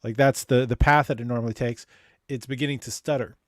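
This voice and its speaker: Man, mid pitch, dead-dry room, talks in loose rambling stretches, lots of ums. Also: fairly even intonation, fast talker, no room sound. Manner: rambling, hesitant, many fillers and restarts. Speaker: chat show co-host. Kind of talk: casual video chat.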